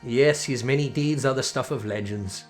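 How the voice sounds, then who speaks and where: A man's voice: mid-range voice, moderate pace, natural voice. Fantasy game creature villager, male player doing the voice: earnest, ceremonious, clipped third-person phrasing, music underneath. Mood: reverent. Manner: formal, clipped article-free speech, speaks in third person.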